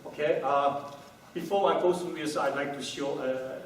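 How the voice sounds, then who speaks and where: A middle-aged man giving a conference talk, halting, with many fillers and restarts. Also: fairly high pitch. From Taiwan. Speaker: company founder giving a talk. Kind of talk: conference talk to a small audience. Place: hotel meeting room, indoors. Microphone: far camera, no handheld mic. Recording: echoey room from far off, noisy.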